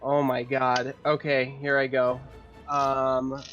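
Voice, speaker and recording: A man playing a tabletop role-playing game, chatting casually and thinking out loud with some swearing. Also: mid-range voice, monotone, slow pace, highs cut off, faint music behind.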